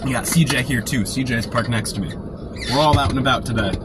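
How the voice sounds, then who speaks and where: A man with a sore throat from a cold, keeping his voice quiet and low while chatting casually on a live stream from inside a parked car. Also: brisk. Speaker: storm chaser live-streaming. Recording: noisy car interior. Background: car and outdoor traffic noise.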